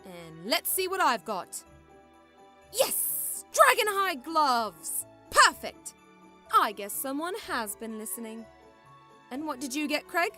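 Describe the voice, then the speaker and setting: A woman playing a bossy, excitable young witch who hunts monsters in an audio drama. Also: sits high, slow pace, soft background music, full character voice. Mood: excited.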